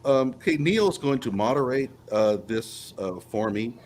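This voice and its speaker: A man's voice: mid-range voice, expressive, a little slow, a little mellow. A man hosting a live panel podcast, introducing guests in a conversational way. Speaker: podcast host. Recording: clearly noisy room ambience.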